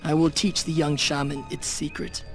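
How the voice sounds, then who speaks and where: Man acting a stern shaman, higher-pitched, over music. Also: level tone, lightly acted. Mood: scornful. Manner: formal, solemn, measured phrasing. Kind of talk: dramatic video game acting. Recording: music behind the voice.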